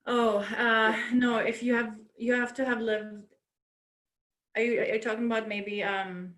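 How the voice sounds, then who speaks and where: A woman consular officer answering questions in a webinar, relaxed and helpful, with easy fillers, over a video call. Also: a little high, unhurried, roomy sound.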